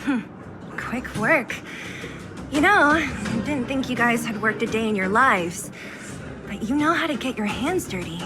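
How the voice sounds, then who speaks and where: A tough, streetwise young fighter from a video game. She is blunt, confident and teasing, with a slightly high, animated voice. Music plays underneath her lines. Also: unhurried. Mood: gruffly approving. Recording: game audio with music behind.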